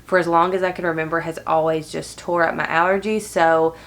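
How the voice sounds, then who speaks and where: Lady in her 30s, stuffy nasal voice, chatty and upbeat, talking to camera at home, kinda low pitch. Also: flat delivery, brisk. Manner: chatty, upbeat, friendly direct address. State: congested from allergies. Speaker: lifestyle vlogger. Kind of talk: casual talk to camera. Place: cozy living room with bookshelves, indoors.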